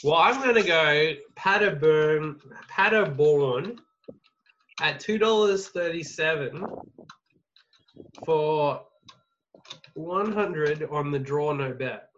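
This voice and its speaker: A man hosts a casual betting chat, swearing and laughing as he reads out odds. His voice sits a touch high. The sound is dull and lo-fi, with a faint hiss behind it. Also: takes it slowly, long pauses, a bit bright. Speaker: podcast host taking bets. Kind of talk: casual podcast banter.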